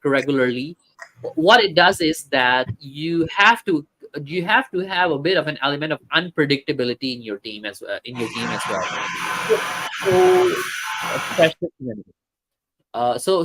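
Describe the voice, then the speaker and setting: A man's voice: fairly high pitch, a bit bright, rough recording. A man hosting a casual football talk stream, chatting with viewers and reading comments, hesitant with many fillers and restarts.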